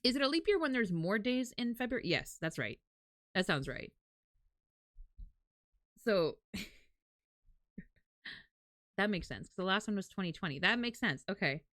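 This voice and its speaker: A woman's voice: medium pitch, normal speed, long pauses, no echo, clean studio sound. A woman chatting casually as a livestreamer, candid and freely swearing, telling things in a dramatic, self-deprecating way. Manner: animated, dramatic storytelling.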